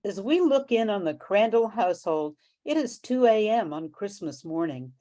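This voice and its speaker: A woman's voice: medium pitch, lively intonation, moderate pace, loud music behind the voice, natural voice. A woman playing narrator and police sergeant in an amateur radio play, with a scripted delivery.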